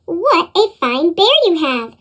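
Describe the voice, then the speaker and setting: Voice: very high-pitched, very animated, slow pace, bright, forceful tone, heavily acted. A storyteller voicing a kindly, protective father in a children's storybook. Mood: grateful.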